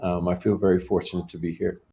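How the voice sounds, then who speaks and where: A man from Trinidad and Tobago speaks as a guest in a relaxed interview, his voice sitting a little low. He thinks aloud, doubling back on words before going on. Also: fast, creaky. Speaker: startup founder, interview guest. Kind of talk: casual interview talk.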